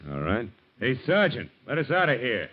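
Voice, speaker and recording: An actor playing a tough ex-police detective who talks in blunt, hard-boiled slang, in 1940s radio acting. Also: male voice, mid-range voice, huge pitch swings, moderate pace. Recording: muffled 1940s radio broadcast.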